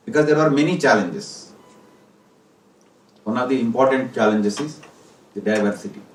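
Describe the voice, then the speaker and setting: Echoey, low-voiced Indian man giving a speech. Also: a little mellow. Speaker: senior company executive giving a speech. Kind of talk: formal ceremonial speech. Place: corporate hall. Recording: echoey hall, distant mic, faint noise.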